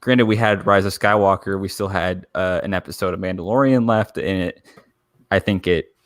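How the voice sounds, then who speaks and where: A man's voice: sits low, normal speed, no room sound, studio quality. A man co-hosting a podcast in casual discussion, speaking informally and giving his opinions freely. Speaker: podcast co-host.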